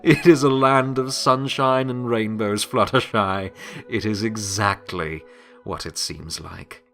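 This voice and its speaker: Man playing weary, low-voiced pony of Death. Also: fairly even intonation, fairly bright tone, faint music behind. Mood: earnest, hopeful. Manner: reflective, halting, confiding. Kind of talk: dramatic audio fiction monologue.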